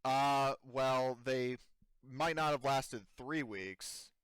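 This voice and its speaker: A man's voice: medium pitch, fairly bright tone, smooth, no echo. A man playing a hesitant, evasive colony administrator in a tabletop sci-fi game, hedging as he goes. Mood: halting, uneasy. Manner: halting, hedging. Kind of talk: improvised tabletop roleplay dialogue.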